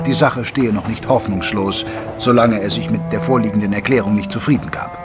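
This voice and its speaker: An actor playing an observant gentleman narrator, the detective's companion, telling the story in a measured, formal way. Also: medium pitch, close to an everyday voice. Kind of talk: scripted narration. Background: music.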